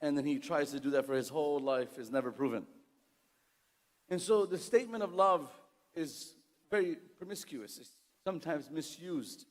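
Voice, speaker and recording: An impassioned male preacher with a high voice. His sermon rings with the long reverb of a large hall, and his Arabic recitation comes fast and fluent. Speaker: Islamic preacher. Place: lecture hall.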